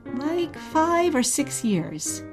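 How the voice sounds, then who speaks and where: A woman's voice: sits high, big pitch swings, very slow, heavily acted. Woman acting a wistful mother over music. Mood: fond, nostalgic. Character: warm, wistful mother telling her wartime exile story. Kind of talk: short radio play dialogue, bedtime storytelling.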